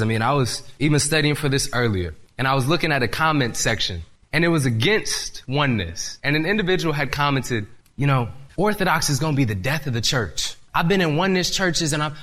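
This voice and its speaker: A young man preaching a sermon to a church audience and reading scripture aloud, his voice slightly raspy, earnest and emphatic. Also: medium pitch. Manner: earnest, lively delivery with rising emphasis.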